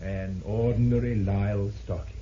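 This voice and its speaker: An actor playing a formal, relentless prosecutor cross-examining a witness in an old-time radio drama. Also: male voice, on the low side, fairly even intonation, moderate pace, mellow, lightly acted. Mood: accusing. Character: probing, relentless male prosecutor in a courtroom. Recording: muffled, noisy vintage radio recording.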